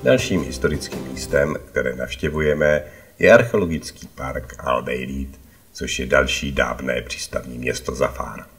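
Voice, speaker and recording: A man's voice: extremely low pitch, a bit bright, soft background music. A man narrating a travel vlog from a prepared script, as a voice-over.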